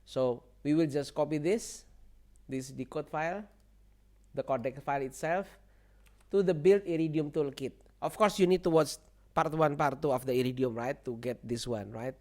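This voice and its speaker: A man who speaks English as a second language presents an informal software walkthrough, repeating himself and often checking in with the listener. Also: a little high, moderate pace. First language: not English. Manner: repetitive, seeks agreement with "okay" and "right". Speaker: tech tutorial presenter.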